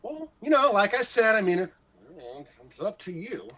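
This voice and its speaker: A man playing an excitable, jealous, insecure morning radio host in a radio comedy-drama, stammering, gushing and evasive. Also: sits high, normal speed. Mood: reluctant.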